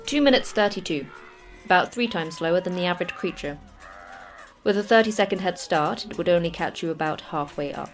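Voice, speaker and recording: A woman's voice: lowish voice, expressive, fairly quick, faint music behind, natural voice. A woman playing a terse, sarcastic facility manager. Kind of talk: audio drama acting.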